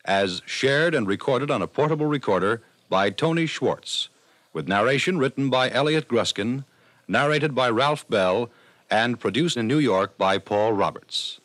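A man's voice: medium pitch, lively intonation, a little slow, a bit bright, no room sound, rough recording. An American man making a scripted sign-off as a 1950s radio announcer.

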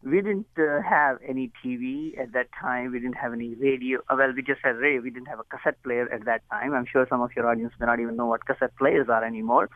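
A man's voice: mid-range voice, fairly even intonation, fast talker, few pauses, no room sound. An Indian man speaks over the phone as a guest on a talk show. He is earnest and chatty and likes to laugh at his own jokes. The line is thin and tinny, and the sound is dry and close. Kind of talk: phone interview.

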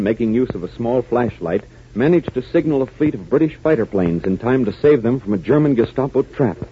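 An American man announcing and narrating a radio adventure serial in a dramatic, emphatic 1940s style. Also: lowish voice. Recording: muffled, hissy 1940s radio recording.

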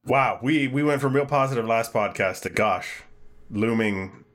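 A man's voice: medium pitch, a little slow, a bit bright. A man hosting a podcast in casual chat, laughing often and restarting his phrases. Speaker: podcast host.

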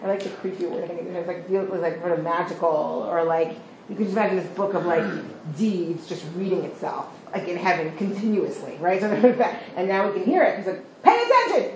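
A woman's voice: lowish voice, expressive, darkish tone. A woman leads a class discussion, praising students and often checking in with "right?". The recording carries an echoey room and a faint background hum. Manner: encouraging, quick, full of praise and "right?". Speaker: teacher leading a study session.

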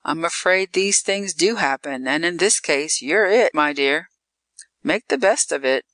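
A narrator voicing a calm, worldly female vampire who speaks with dry, possessive condescension. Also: medium pitch, a little slow, no echo. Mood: dryly amused.

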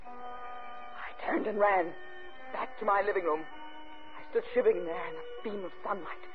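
Actress as an anxious widow, thin old-radio sound. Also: female voice, mid-range voice, fairly even intonation, a little slow, lightly acted. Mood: shaken. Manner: dramatic, intense, confessional first-person telling. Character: anxious, cold-hearted widow, outwardly proper, inwardly unravelling. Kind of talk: 1950s radio thriller acting and narration. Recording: muffled narrow-band 1950s radio with music.

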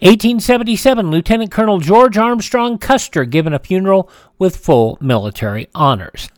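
A man hosting a classic radio program, chatting in a relaxed, rambling way with frequent fillers. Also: high-pitched, fairly quick, dry recording, clean studio sound. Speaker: radio show host. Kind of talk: casual radio host chat.

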